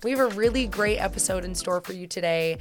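A young woman co-hosting a podcast, earnest and gushing as she speaks gently with her guest. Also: mid-range voice, fast, soft background music. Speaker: podcast co-host. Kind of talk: casual podcast interview.

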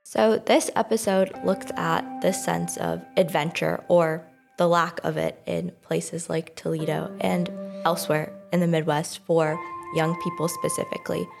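A woman's voice: lowish voice, fairly even intonation, a little slow, creaky, over music. A woman in her twenties from Milwaukee, a student intern, speaking thoughtfully and casually in an interview. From Milwaukee, Wisconsin. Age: 20s. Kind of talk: conversational interview.

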